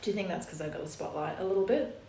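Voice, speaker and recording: A woman's voice: on the low side, rapid-fire, creaky, warm tone. A woman co-hosting a podcast, chatty and encouraging, asking follow-up questions. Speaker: podcast co-host. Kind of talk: casual interview chat. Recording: echoey room with faint noise.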